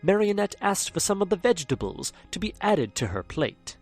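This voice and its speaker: A man's voice: high-pitched, very animated, moderate pace, a little mellow, faint music behind. A man narrating a fan audio drama in a theatrical storytelling manner. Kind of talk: scripted narration.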